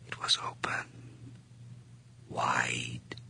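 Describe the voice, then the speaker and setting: An actor playing a feverish male murderer who narrates his crime in a 1980s radio drama, whispering low, then breaking into high, gleeful outbursts. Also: pushed and bright, full character voice.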